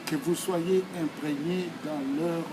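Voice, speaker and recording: Slow, deliberate Congolese man in his sixties. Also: fairly high pitch, steady tone, noisy background. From Democratic Republic of the Congo. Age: 60s. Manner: deliberate, emphatic, phrase-by-phrase delivery. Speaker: speaker answering questions. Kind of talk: formal, oratorical answers to questions.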